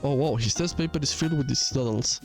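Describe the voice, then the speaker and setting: A man's voice: mid-range voice, quick pace, soft background music. A man doing game playthrough commentary, reading dialogue aloud with casual asides. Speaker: gaming video host.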